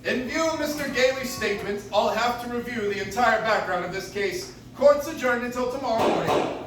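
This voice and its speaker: Man acting a high-voiced formal judge, echoey. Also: a little fast, fairly bright tone. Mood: stern, formal. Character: formal, impatient courtroom judge. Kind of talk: old-time radio play acting on stage. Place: live stage in a coffee house. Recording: very echoey, distant, noisy audience recording.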